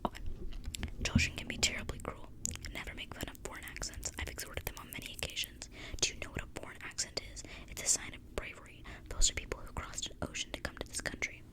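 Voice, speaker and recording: A woman speaks in a soft, breathy, intimate whisper, reading a book aloud in ASMR style with casual asides. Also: fast, poor audio. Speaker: ASMR video creator. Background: thunderstorm outside the window.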